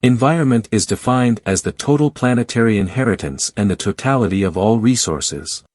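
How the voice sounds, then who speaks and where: A man reads study material aloud in a fairly low voice. His delivery is scripted educational narration. The recording is very dry, with no room sound. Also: expressive, fairly quick, darkish tone, no echo. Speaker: exam practice reader.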